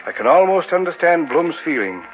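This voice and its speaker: An actor playing a confident, urbane gentleman friend in an old radio horror drama, speaking in a grand, jovial way. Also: fairly high pitch, big pitch swings, a little fast, soft background music. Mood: intrigued. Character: hearty, urbane male friend, confident occult sceptic turned intrigued. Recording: tinny old radio recording with hiss.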